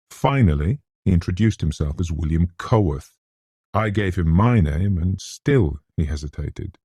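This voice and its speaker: Deep, dark-voiced British man narrating gothic tales. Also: creaky, husky, no echo, clean studio sound. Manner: scholarly, measured, formal. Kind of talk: scripted gothic audiobook narration in a mid-century classic manner.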